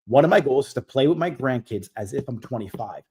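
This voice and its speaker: Man in his forties, host of a coaching live Q&A, direct and emphatic, mid-pitched. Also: animated, brisk, a little mellow, husky. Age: 40s. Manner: direct, emphatic, repeats key points.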